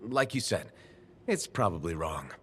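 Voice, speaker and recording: An actor playing a calm, reassuring male hero in video game dialogue. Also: deep voice, measured pace, a little hiss or hum, heavily acted. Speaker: player character.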